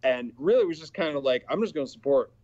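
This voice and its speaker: A man in his forties, an actor guest on a video call, telling set stories with lively acted-out quotes. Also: on the high side, fast. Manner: animated storytelling, acts out quoted exchanges. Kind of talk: casual interview over video call. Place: small indoor room with purple backlight. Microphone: webcam video call. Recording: slightly dull lo-fi video call audio.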